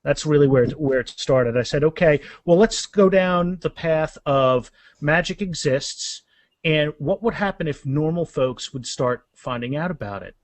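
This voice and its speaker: A middle-aged man speaking casually as an author guest in an interview, animated and often repeating phrases as he goes. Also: fairly high pitch, a little slow, dry recording.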